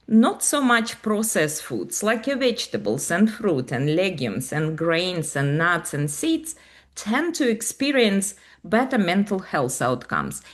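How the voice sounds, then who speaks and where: A woman from Ukraine with a Ukrainian accent, speaking as a researcher on a panel in an upbeat, explanatory way over a video call. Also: mid-range voice. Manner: upbeat, explanatory, with non-native grammar. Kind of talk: panel presentation.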